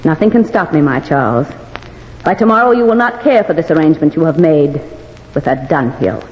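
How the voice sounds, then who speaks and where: A woman's voice: low voice, expressive, fairly quick. A woman playing a vengeful, possessive ghost bride in an old-time radio horror drama, imperious and taunting. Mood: gloating, possessive. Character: vengeful, possessive, haughty female specter bride. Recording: muffled, noisy old radio recording, long reverb.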